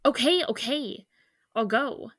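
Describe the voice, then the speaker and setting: A woman's voice: fairly high pitch, animated, slow pace, a bit bright, husky, no room sound, studio quality, heavily acted. A woman playing a loyal, plucky teenage daughter in an audio drama. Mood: reluctant.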